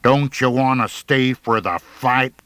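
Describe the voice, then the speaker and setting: A man acts out a hulking, brutish young bully in a comic audiobook. He is menacing and confrontational, taunting with a raised, emphatic pitch. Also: takes it slowly, fairly bright tone, clear, resonant tone, no room sound.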